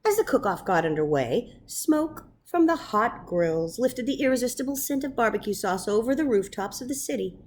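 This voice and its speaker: A woman reading a children's story aloud as narrator, dramatic and expressive. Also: fairly high pitch, normal speed, few pauses, slight background noise. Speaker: storybook reader. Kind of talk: animated read-aloud narration.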